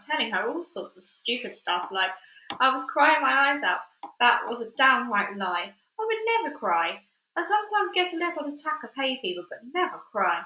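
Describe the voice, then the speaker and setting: A woman reading a children's book aloud in an expressive way, with chatty asides full of fillers. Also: a little high. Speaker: storybook reader. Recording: echoey room.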